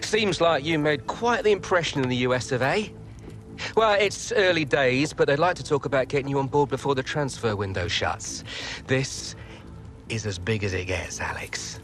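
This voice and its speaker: A man's voice: mid-range voice, lively intonation, normal speed, fairly bright tone, natural voice. A man playing a persuasive, pushy football agent in a video game cutscene, with music behind. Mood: enticing. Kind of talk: cinematic game cutscene acting.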